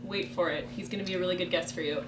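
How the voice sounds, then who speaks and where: A woman's voice: fairly low pitch, fairly even intonation, rapid-fire. A woman asking questions as a casual, informal interviewer. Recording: echoey, noisy, distant microphone.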